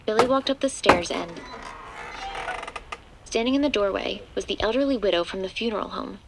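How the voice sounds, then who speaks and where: A woman's voice: medium pitch, normal speed, creaky, heavy background noise, lightly acted. A woman playing the composed narrator of a horror audio drama, reading scripted prose as a storyteller. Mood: composed, ominous.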